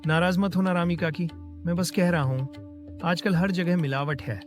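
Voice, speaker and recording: A man's voice: high voice, steady tone, measured pace. A man playing a resentful, scheming village man in a cartoon moral story, muttering and insinuating with false politeness. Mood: sly, insinuating. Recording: music behind the voice. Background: prominent music.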